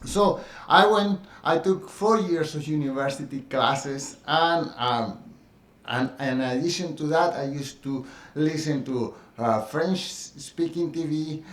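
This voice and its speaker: A middle-aged man with a Spanish accent speaks in an earnest, reflective monologue, pausing on fillers and restarting his sentences. His voice sits a little high for a man. The room around him is echoey. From Colombia. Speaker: dance teacher sharing his experience. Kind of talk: personal monologue.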